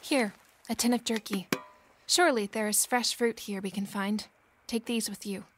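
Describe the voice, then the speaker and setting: A woman's voice: mid-range voice, steady tone, normal speed, a little hiss or hum, close to an everyday voice. An actress playing a practical, kind and determined young woman in a period audio drama, earnest and decisive. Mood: gentle.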